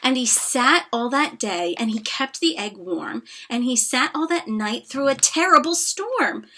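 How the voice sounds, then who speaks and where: High, animated woman reading a storybook. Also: a little slow. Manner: expressive, dramatic emphasis with hushed asides.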